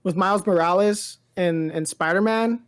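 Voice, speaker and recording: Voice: mid-range voice, moderate pace, clear, resonant tone. A podcast co-host chatting casually about pop culture, rambling and often repeating phrases.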